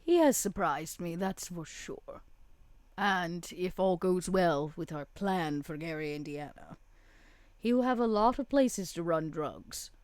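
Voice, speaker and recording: Woman doing a refined sly vampire lady for a tabletop game, kinda low voice, musing, really dry sound. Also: unhurried, a little mellow, dry recording, natural voice. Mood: shrewd, scheming. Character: female vampire schemer, shrewd, refined, wry. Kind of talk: in-character tabletop roleplay.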